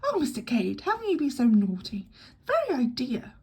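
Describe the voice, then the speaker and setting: Woman doing a skittish young lady, high-pitched, gushing, giggly, husky edge. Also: big pitch swings, brisk, mellow, full character voice. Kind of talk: audiobook character voice.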